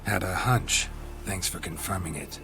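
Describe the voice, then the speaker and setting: A weary, gravelly monster hunter for a fantasy game. His pitch is very low and his delivery clipped and dry, with clear music playing behind him. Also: moderate pace. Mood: deadpan. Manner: clipped, deadpan.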